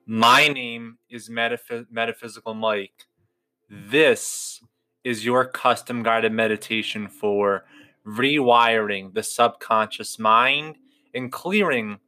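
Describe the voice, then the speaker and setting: A man leading a guided meditation in a slow, soothing, drawn-out way with long pauses. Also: mid-range voice, pushed and bright. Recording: clear music bed behind the voice.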